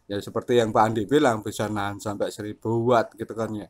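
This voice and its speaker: Man, lowish voice, relaxed hobbyist radio chat, enthusiastic and technical. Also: fairly quick.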